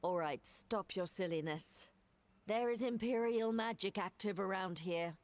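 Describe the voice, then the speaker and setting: An actress as a brisk adventurer in a fantasy game. She is terse and commanding, with a middling pitch and a no-nonsense manner. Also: close to an everyday voice. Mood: brisk, wary. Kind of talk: scripted fantasy game dialogue.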